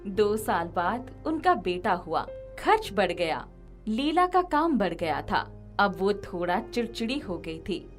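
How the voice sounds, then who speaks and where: A woman's voice: mid-range voice, big pitch swings, slow pace, faint music behind. A woman narrating a scripted moral story as its storyteller.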